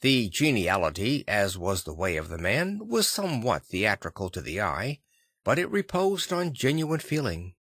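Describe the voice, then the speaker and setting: Expressive man narrating a classic novel. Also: on the low side, moderate pace, slightly rough, no room sound, clean studio sound. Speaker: audiobook narrator. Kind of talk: scripted literary narration.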